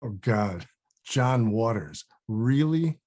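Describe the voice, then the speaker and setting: An actor playing a laid-back but troubled man on a film set, deadpan and incredulous. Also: medium pitch, takes it slowly. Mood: amused disbelief.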